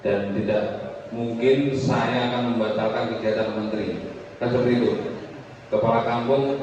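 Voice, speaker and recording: A man speaking as village head to residents, earnest and persuasive. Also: medium pitch. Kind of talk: speech to villagers. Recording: echoey, distant with noise.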